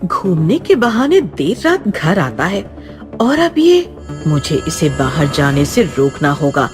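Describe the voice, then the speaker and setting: A woman's voice: sits low, huge pitch swings, slow, over music, heavily acted. A woman voicing a suspicious, stern middle-aged aunt, anxious and commanding, in a horror story.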